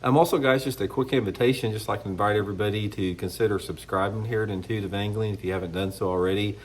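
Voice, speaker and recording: A man's voice: fairly low pitch, steady tone, fast talker. Congested middle-aged man ranting. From United States. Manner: rambling, blunt, restarts often. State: congested from a cold. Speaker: fishing channel host. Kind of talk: casual opinion monologue to camera.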